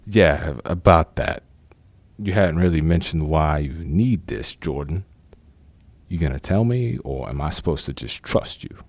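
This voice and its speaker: A man playing a wary, gruff retired crook who is suspicious of an old partner, in an audio drama. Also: rumbling low, expressive, a little slow, a little mellow, dry recording, heavily acted. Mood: guarded. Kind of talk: amateur audio drama acting.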